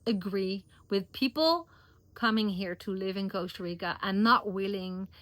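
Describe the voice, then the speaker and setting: A woman's voice: mid-range voice, unhurried, a bit bright, no room sound. Dutch-accented woman giving earnest advice. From Netherlands. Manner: earnest, encouraging, loose non-native phrasing. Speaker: expat vlogger. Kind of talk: casual advice vlog.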